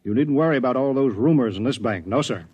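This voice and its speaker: An actor playing a fussy 1930s bank officer in a radio drama, chattering, repetitive and obsequiously polite. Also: male voice, medium pitch, expressive, quick pace, a little mellow, breathy edge, no room sound. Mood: eagerly reassuring. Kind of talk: 1950s radio drama acting.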